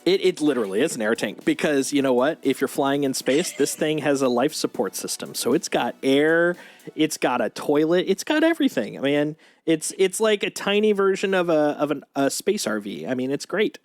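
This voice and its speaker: A man's voice: a little high, sing-song, moderate pace. A man chatting casually as a livestream host, showing things off in an enthusiastic, geeky, rambling way. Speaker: streamer, host. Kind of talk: casual livestream chat, showing off collectible toys. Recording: music playing behind the voice. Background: music.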